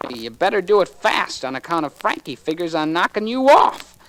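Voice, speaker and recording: A man playing a nervous, high-voiced gangster henchman of forty who stammers and frets, in 1940s radio drama style. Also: sing-song, moderate pace, a bit bright, no echo, full character voice, rough recording. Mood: fretful. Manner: stammering, fretful.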